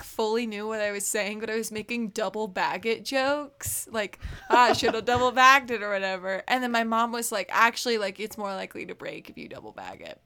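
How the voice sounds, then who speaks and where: A woman's voice: a little high, sharp tone. A woman in her twenties co-hosting a podcast in casual chat, giggly and full of fillers. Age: 20s. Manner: chatty, giggly, lots of fillers. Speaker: podcast co-host.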